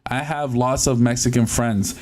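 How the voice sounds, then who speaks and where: A man's voice: medium pitch, fairly even intonation, moderate pace, a little mellow, a little hiss or hum. A young man from Puerto Rico, a native Spanish speaker, chatting casually on a live stream while he works on a console, often laughing and tripping over words. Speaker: livestream host.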